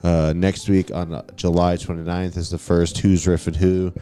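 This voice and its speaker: A man's voice: low-pitched, little pitch movement, moderate pace, dark tone, clean tone. A man co-hosting a comedy podcast, easygoing, plugging shows. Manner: short agreeing replies, easygoing. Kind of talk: casual podcast chat.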